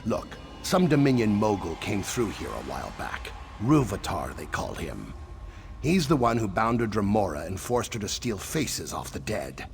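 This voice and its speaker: A man's voice: on the low side, big pitch swings, normal speed, gravelly. A man playing a wary, sardonic lizard-folk survivor in a video game, speaking with dry sarcasm. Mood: sarcastic. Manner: wry, dry sarcasm, clipped questions tagged on statements. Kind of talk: video game character dialogue.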